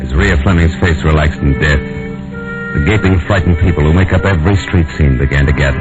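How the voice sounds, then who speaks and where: A man with an American accent playing a tough, world-weary private detective in a 1940s radio drama, brusque and wisecracking, both in scenes and narrating. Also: rumbling low. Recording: dull old radio recording with music.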